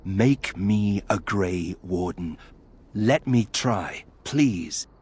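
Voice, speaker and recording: A man's voice: fairly low pitch, animated, drawn-out words, a little mellow, husky, heavily acted. A man playing a guarded, earnest disgraced young nobleman in a video game, serious and dry. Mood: earnest pleading. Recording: faint music behind the voice.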